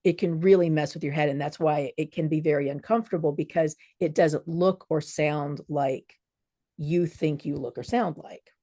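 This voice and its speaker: A woman co-hosting a casual podcast chat, explaining things in a measured, thoughtful way. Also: low voice, fairly even intonation, warm tone, no echo, clean studio sound. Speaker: podcast co-host.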